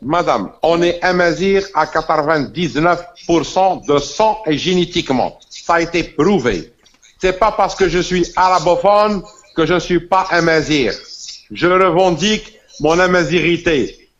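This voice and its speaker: A man's voice: fairly high pitch, a little slow. A man from Constantine with an Algerian accent, arguing insistently as a guest in a heated political debate. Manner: forceful, insistent, repeats himself. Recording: muffled lo-fi audio with faint noise.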